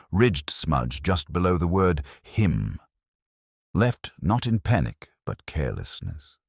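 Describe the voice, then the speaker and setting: A man narrating a mystery story in a measured, storytelling manner. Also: a bass voice, vocal fry, husky, no echo, clean studio sound.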